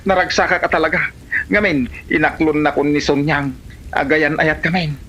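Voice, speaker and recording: A man's voice: fairly high pitch, fairly even intonation, normal speed, bright, forceful tone. A man acting in an Ilocano comedy drama as a devoted, persistent suitor, with a Filipino Ilocano accent. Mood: overjoyed.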